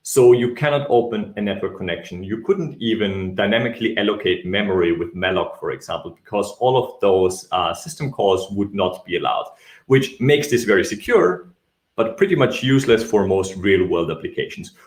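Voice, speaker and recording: A young Austrian man with a low voice gives a relaxed technical talk, often pausing on ums and correcting himself. The sound is echoey, as if he is in a bare indoor room. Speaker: tech speaker.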